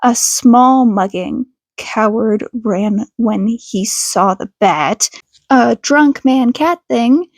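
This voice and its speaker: A woman's voice: fairly high pitch, slow, no room sound. A woman reading fan fiction aloud as scripted audiobook narration. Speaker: podfic reader.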